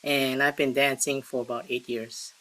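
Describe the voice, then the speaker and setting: A man's voice: mid-range voice, flat delivery, normal speed, fairly bright tone, rough recording. A man, giving short, matter-of-fact answers in an interview over a video call. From Singapore. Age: 40s. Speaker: interview guest, dancer.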